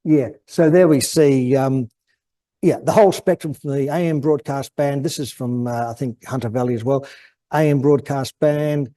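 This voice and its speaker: An Australian man giving an informal technical presentation, hesitant and halting as he thinks aloud. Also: medium pitch, steady tone, warm tone. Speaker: guest presenter at an amateur radio club.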